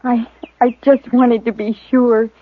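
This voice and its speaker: An actress playing a worried young daughter on the frontier, speaking in halting, stammering bursts in a radio drama. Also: female voice, on the high side, little pitch movement, no room sound. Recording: tinny vintage radio broadcast.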